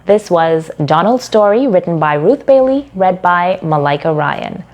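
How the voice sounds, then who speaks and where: A woman narrating a short story from a script in a measured, dramatic storytelling delivery. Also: on the low side, lively intonation, a little mellow.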